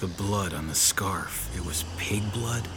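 An actor playing a world-weary, dry private detective in a 1930s noir audio drama, terse and tough with a sardonic edge. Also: male voice, low-pitched, a little slow, creaky, fairly bright tone, a little hiss or hum, full character voice. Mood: subdued, dry.

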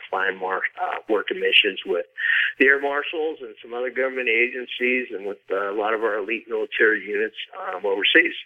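Middle-aged man on a tinny phone line. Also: on the low side. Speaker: podcast guest, author and consultant. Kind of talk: casual conversational interview answers. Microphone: phone or remote call.

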